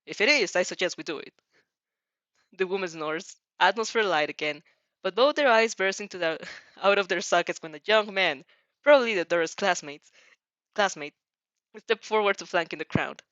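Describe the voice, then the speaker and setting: A woman's voice: medium pitch, normal speed, fairly bright tone, no echo, muffled recording. A woman narrating fan fiction expressively as an amateur reader, sometimes stumbling over words.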